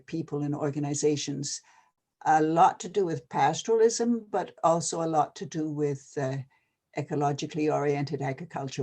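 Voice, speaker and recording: An older person from Canada, talking casually and hesitantly with many pauses and fillers. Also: medium pitch, mellow. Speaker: retired NGO advisor. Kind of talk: casual video-call conversation.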